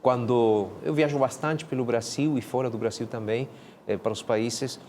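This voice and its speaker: Man, guest pastor, measured, repeats words, mid voice. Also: mid-range voice, steady tone, noisy background. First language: Spanish. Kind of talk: casual studio talk.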